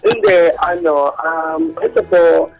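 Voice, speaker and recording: A man's voice: fairly high pitch, slow pace, creaky, poor audio. A middle-aged man, telling his personal story politely as a radio interview guest and mixing in some English. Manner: polite, uses respectful markers, mixes in English. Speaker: guest caller, bar comedian. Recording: music bed under radio talk.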